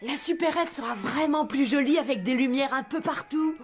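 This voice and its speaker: A woman's voice: high voice, moderate pace, natural voice. An actress voicing an eager, pleading, stubborn little boy in a children's cartoon, with music behind. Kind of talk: French-dubbed children's cartoon.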